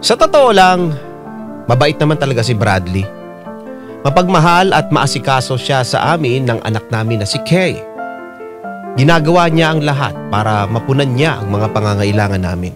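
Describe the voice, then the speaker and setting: A man narrates a scripted personal story for a radio drama, reading a listener's letter in the first person. His pitch is middling but moves with lively expression. Prominent piano music plays behind him throughout. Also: normal speed, long pauses.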